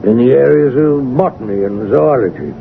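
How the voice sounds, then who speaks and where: Creaky-voiced genial scholarly country gentleman. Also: medium pitch, expressive, normal speed, phone-quality sound. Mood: urbane. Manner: urbane, formal, sociable. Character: genial, learned country naturalist, a former schoolmaster. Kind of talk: radio drama acting. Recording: band-limited old radio broadcast with hiss.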